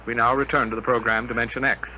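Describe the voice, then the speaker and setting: A man's voice: mid-range voice, little pitch movement, fast, sharp tone. A man reading a news announcement in formal 1950s radio style. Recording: faintly noisy 1950 radio broadcast.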